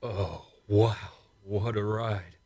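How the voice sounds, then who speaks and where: A man's voice: lowish voice, fairly even intonation, very slow, gravelly, dark tone, heavily acted. A man playing a proud, stubborn space commander in a science fiction radio play, with an exasperated, emphatic delivery. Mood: shaken. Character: proud, stubborn male space commander, prickly with an old flame.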